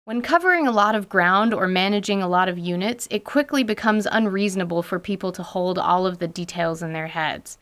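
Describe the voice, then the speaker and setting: A woman's voice: mid-range voice, fairly quick, hardly any pauses, a bit bright. A woman hosting a scripted, playful commentary on tabletop gaming as a game master, with theatrical emphasis and mock-dramatic asides. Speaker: podcast host and game master.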